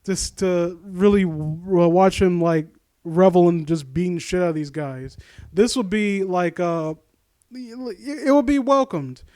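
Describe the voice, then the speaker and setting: A man's voice: high-pitched, a little slow, a little mellow, dry recording. A man reading and commenting in a casual, sardonic, informal way, often stumbling over his words. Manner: sardonic, mock-supportive asides, informal, stumbling over read lines. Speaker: host of a critique series reading a story aloud and commenting. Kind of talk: casual reaction commentary mixed with story reading.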